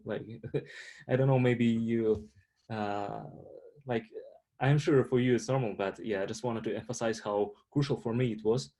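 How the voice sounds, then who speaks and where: A man's voice: medium pitch, warm tone. A young man with a Russian accent, speaking as a student panelist, hesitant with many fillers and restarts. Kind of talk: panel discussion answer.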